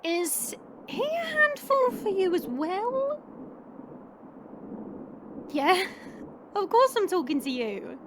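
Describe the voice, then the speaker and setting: Audio drama role: actress as a mischievous female monster, high, lively and sardonic, teasing with relish. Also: takes it slowly, long pauses, slight background noise, full character voice. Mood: teasing, flirty. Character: playful, teasing female monster, powerful and lonely. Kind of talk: audio roleplay acting.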